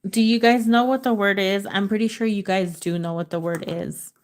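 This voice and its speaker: A woman's voice: mid-range voice, steady tone. A woman from the United States hosting a livestream word-guessing game, reading out letters and chatting with viewers, sometimes in Spanish. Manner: slow, drawn-out letter reading, switches into Spanish. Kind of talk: casual live chat with viewers.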